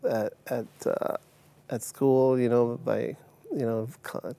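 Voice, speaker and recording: A man from Uganda in his fifties, telling his life story as a guest on a TV studio set, halting with many fillers and restarts. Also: mid-range voice, fairly even intonation, creaky, dark tone. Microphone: lapel mic.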